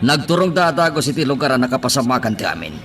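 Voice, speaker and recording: A man's voice: medium pitch, very animated, racing, distant mic, over music. An actor playing a commanding, wealthy man, shaken but controlled, in an Ilocano radio drama. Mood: serious.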